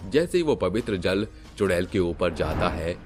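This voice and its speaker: A man narrating a cartoon horror story in steady storybook style. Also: low voice, normal speed, soft background music.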